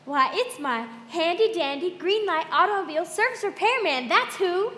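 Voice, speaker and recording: A woman playing a perky, chummy pitchwoman in an old-time radio commercial, with a chirpy, salesy delivery. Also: expressive, normal speed, sharp tone, slight background noise, heavily acted. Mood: chirpy, confiding. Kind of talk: 1940s radio advertisement acting. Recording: echoey hall, stage live, music behind.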